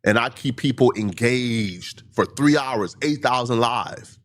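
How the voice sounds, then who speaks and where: A man's voice: mid-range voice, slow, a bit bright. A man who stutters, a livestreamer giving a heated monologue to his critics, full of repeated points and rhetorical questions.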